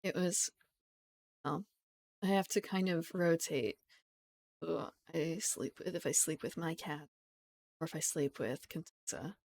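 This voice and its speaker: A woman streamer chatting casually with her viewers, rambling, giggling and correcting herself, her voice still croaky from just waking up. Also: low voice, measured pace, long pauses, darkish tone. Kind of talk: casual live-stream chat.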